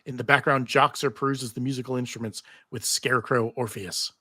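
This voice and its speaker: A man's voice: medium pitch, steady tone, a little fast, bright, forceful tone, dry recording. A man reading TV script stage directions aloud at a live table read, theatrical with wry asides. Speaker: table read narrator and panel host. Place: live convention panel.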